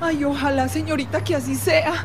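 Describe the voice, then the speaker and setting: A woman's voice: sits high, steady tone, fairly quick, slight background noise. A woman acting in a radio drama as a desperate, frightened driver being chased, with pleading, dramatic delivery. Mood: panicked pleading. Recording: music behind the voice.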